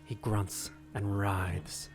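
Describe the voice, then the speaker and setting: A man delivering scripted dramatic narration for an audio drama in a grave, deliberate way with suspenseful phrasing. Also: lowish voice, flat delivery. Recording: narration over prominent music.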